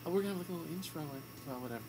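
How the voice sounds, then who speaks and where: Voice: mid-range voice, expressive, rapid-fire, music in the background. A radio host in improvised comedy banter, playing the bossy, exasperated straight man.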